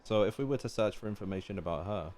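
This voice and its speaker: A man's voice: fairly low pitch, lively intonation, quick pace, a little mellow, slight background noise. A man giving casual live reactions as he streams a game, chatty and questioning things aloud.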